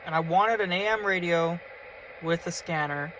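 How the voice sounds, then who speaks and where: Enthusiastic high-pitched man, bright voice. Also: moderate pace, slight background noise, rough recording. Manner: enthusiastic, informal, chatty. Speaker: hobbyist vlogger. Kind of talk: casual show-and-tell vlog. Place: in and around a pickup truck.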